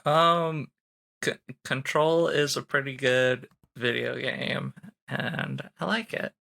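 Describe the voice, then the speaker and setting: A man's voice: medium pitch, slow, vocal fry, bright, forceful tone. A man who plays in a tabletop game, chatting casually. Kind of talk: casual chat.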